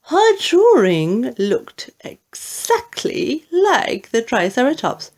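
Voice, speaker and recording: Slow, lively woman reading a storybook. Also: sits high. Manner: expressive, deliberate. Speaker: audiobook narrator.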